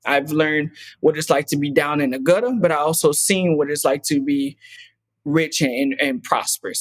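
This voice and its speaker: A man's voice: fairly high pitch, no echo. A man in his twenties, a podcast guest talking earnestly and reflectively, with many fillers and restarts. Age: 20s. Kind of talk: casual interview conversation.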